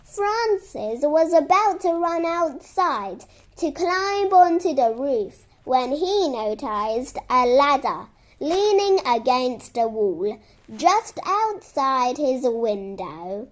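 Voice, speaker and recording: Very slow, high-voiced woman storyteller. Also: big pitch swings. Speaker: children's story reader. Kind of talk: scripted children's storytelling.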